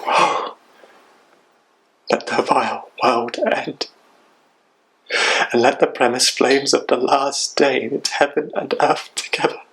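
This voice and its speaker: A man's voice: medium pitch, fairly even intonation, slow pace, vocal fry, long pauses, a bit bright, a little hiss or hum, low-quality recording. A man playing a grief-stricken, vengeful young warrior in a Shakespeare monologue, declaiming with anguished intensity. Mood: anguished, raging.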